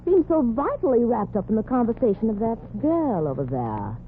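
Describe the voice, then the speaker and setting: A woman's voice: fairly high pitch, very animated, normal speed, narrow, tinny audio. An actress playing a snobbish, scheming society woman with a haughty, catty manner in a 1940s radio comedy. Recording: noisy old radio broadcast.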